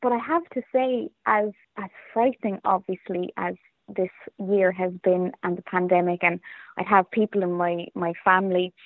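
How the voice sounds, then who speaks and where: A woman's voice: fairly low pitch, fairly even intonation, moderate pace, no room sound. A woman with an Irish accent being interviewed, chatting casually and reflectively in a self-deprecating way over a phone-like remote line. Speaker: playwright and performer being interviewed.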